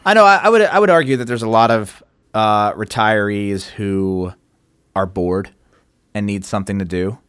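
A man's voice: normal speed, clear, resonant tone, no room sound, clean studio sound. A man co-hosting a casual podcast, asking questions and pushing back with skeptical curiosity. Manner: questioning, skeptical, lively pitch swings. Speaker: podcast co-host.

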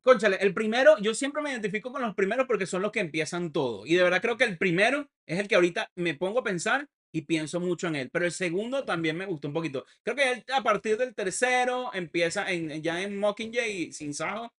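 A man's voice: sits high, lively intonation, brisk, hardly any pauses, fairly bright tone. A Venezuelan man from Caracas with a Venezuelan accent, co-hosting a casual podcast chat among friends. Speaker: podcast co-host.

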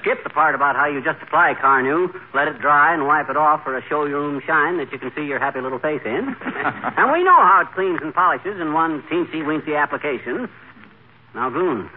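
An actor playing a brash, wisecracking, boastful husband who fancies himself gravely ill, in a 1940s radio sitcom. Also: male voice, a little high, animated, fairly quick, lightly acted. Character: boastful bumbling middle-aged husband playing sick. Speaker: lead of a radio comedy. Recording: noisy, tinny old radio broadcast.

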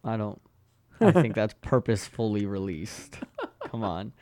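A man's voice: fairly low pitch, expressive, moderate pace, warm tone. A man co-hosting a podcast, chatting casually in a laid-back, joking way. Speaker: podcast co-host. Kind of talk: casual chat.

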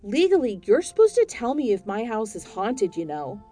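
A fiery young homeowner yells and swears at everyone around her, as a woman narrator acts her out. Her voice stays mellow even when loud. Soft music plays under the story. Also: a little high, normal speed. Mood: indignant. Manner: shouting, swearing.

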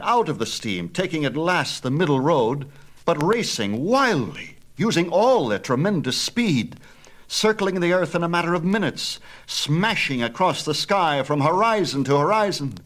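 A man narrating a myth as an audiobook storyteller, with a theatrical, measured and emphatic delivery. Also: a little high, a bit bright.

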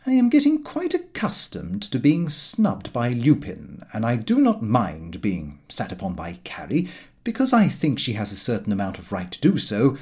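Expressive man narrating, very lively pitch. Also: breathy edge. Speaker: audiobook narrator. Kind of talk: scripted first-person narration of a comic diary.

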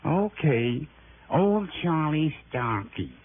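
A man's voice: a little high, big pitch swings, takes it slowly. A man playing a sly, grasping small-time informer, streetwise and wheedling, in an early 1960s radio drama. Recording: hissy, muffled old radio broadcast.

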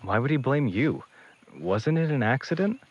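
An actor playing a polite young man in an audio drama, uneasy and careful with his words. The voice is husky and tentative, recorded with a slightly dulled sound. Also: mid-range voice, very animated, normal speed, highs cut off. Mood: cautious, probing. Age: young adult.

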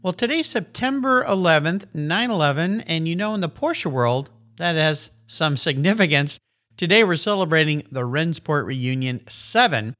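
An upbeat man hosts a podcast, greeting his guest and reading sponsor spots with enthusiasm. His voice is bright and moves lively up and down. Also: a little high, normal speed. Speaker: podcast host. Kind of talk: podcast interview and ad reads.

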